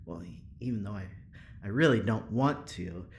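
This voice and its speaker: A man's voice: on the low side, animated, moderate pace, vocal fry, slight background noise. An actor playing an eager, earnest male prison engineer in an audio drama, rambling hesitantly with nervous laughter. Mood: uneasy. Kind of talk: audio drama acting, naturalistic.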